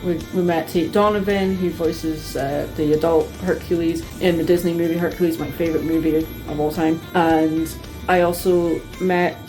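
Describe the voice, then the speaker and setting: A woman telling personal stories to her viewers in a casual, chatty, expressive way, like an enthusiastic fan. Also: sits low, normal speed, music in the background, rough recording. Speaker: vlogger.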